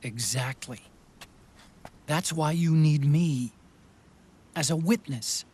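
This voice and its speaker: A loyal, tender-hearted companion in a dramatic game cutscene. His voice sits a little high for a man, with a husky softness, and he takes his lines slowly and earnestly. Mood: tender, wistful. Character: loyal, gentle, earnest male friend of a soldier.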